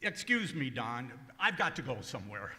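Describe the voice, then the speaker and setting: A man playing a prissy, genial, hesitant comedian in an old-time radio show, with halting, stammering reactions. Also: medium pitch, sing-song, very noisy, close to an everyday voice. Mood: puzzled, flustered. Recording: echoey hall, live stage performance.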